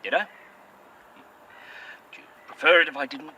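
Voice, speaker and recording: A man's voice: fairly high pitch, fairly even intonation, normal speed, sharp tone, a little hiss or hum. An actor playing a curt, sarcastic man who swears freely. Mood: dry sardonic. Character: bitter, sweary, sardonic man, weary. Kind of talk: audio drama acting.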